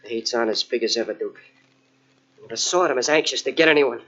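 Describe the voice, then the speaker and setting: An actor playing a nervous, submissive small-time crook in an old-time radio crime drama, speaking in a jittery, placating way. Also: male voice, mid-range voice, brisk, a bit bright, close to an everyday voice. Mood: wary. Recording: muffled old radio cassette transfer.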